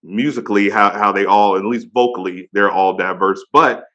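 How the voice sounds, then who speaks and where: A man in his thirties with a deep voice, hosting a casual interview and praising his guest with slang. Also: steady tone, normal speed, bright, forceful tone, dull audio. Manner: enthusiastic, slang-heavy praise. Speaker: podcast host.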